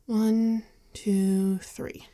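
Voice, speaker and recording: A woman playing a gentle, rueful vampire bartender in an intimate ASMR roleplay, soft-spoken and hesitant, often dropping into a whisper. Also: medium pitch, little pitch movement, extremely slow. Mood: tender, careful. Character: gentle, rueful female vampire bartender tending a wounded companion.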